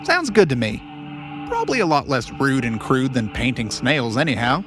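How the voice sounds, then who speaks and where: A man's voice: mid-range voice, big pitch swings, moderate pace, over music, pitched above the performer's own voice, lightly acted. A man voicing a cheerful, easygoing young friend in a folksy, bouncy manner for an audio story.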